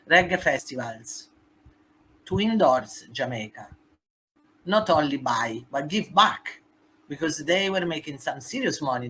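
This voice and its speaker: Italian-accented woman, forceful and bright. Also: low voice, a little hiss or hum, low-quality recording. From Italy. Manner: emphatic, with non-native phrasing. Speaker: guest, human rights activist. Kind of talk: podcast interview.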